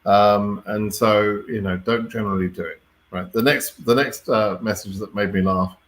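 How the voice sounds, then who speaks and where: A man's voice: lowish voice, fairly even intonation, moderate pace, a bit bright. A man with a British accent hosting an informal live question-and-answer stream, speaking in a blunt, wry, mildly exasperated way with the odd swear word. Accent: British English. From Britain.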